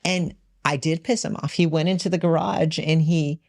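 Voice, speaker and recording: A woman's voice: low voice, steady tone, normal speed, creaky, a little mellow, no echo, clean studio sound. A woman telling a personal story in conversation, emphatic and often quoting what was said in direct speech. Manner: emphatic, re-enacts dialogue in direct quotes. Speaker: podcast guest and business owner.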